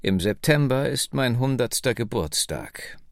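A man reading the lines of a wry, nearly hundred-year-old woman telling her family's story, slowly and slyly. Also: medium pitch, expressive, vocal fry, no echo, clean studio sound. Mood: reminiscing. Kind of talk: radio book reading.

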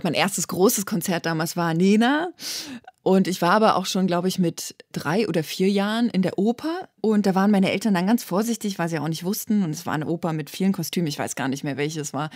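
Young woman, low-pitched, earnest and thoughtful, dry sound. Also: a little mellow, dry recording. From eastern Germany. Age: young adult. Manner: earnest, thoughtful, restarts phrases. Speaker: guest musician. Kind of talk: interview answers.

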